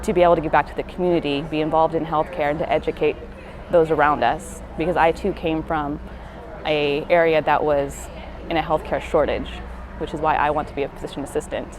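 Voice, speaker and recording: A woman student gives an interview testimonial in a low register. She talks quickly. Clear traffic noise sits behind her. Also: steady tone. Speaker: physician assistant student.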